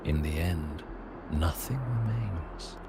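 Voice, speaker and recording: Deep, slow, ominous narrator voice. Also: male voice, expressive, heavy background noise, exaggerated voice. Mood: ominous, bleak. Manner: solemn, theatrical. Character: grave, ominous male narrator of a bleak fable. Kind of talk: dramatic scripted narration. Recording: loud music behind the voice. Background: loud music.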